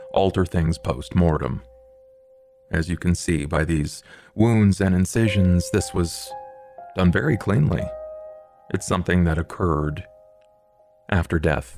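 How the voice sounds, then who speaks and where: A man plays a coolly analytical vampire sleuth for a tabletop game. The voice is very low and creaky, picking out elegant, formal words with measured pauses. Music sits underneath. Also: a little mellow. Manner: measured, halting, ornate formal wording. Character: Duke, formal, erudite male vampire investigator, coolly analytical. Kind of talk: tabletop roleplay in character.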